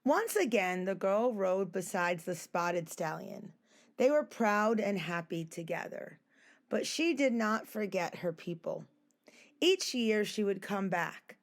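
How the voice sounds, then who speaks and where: A woman on a school staff reading a picture book aloud, slow and gentle. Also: mid-range voice. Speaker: staff reader.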